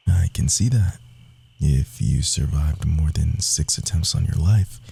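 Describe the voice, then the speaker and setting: A man playing a sly, cocky rogue assassin in a fantasy audio roleplay, speaking softly and theatrically, often dropping into whispers. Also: slow, exaggerated voice. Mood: smug, teasing. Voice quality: low, gravelly, husky.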